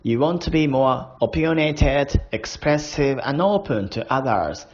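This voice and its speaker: Slow, tipsy Japanese-accented man. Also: medium pitch, animated. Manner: playful, chuckling, slow and deliberate. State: drunk. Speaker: language learner. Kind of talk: casual voice diary monologue. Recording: dull lo-fi recording.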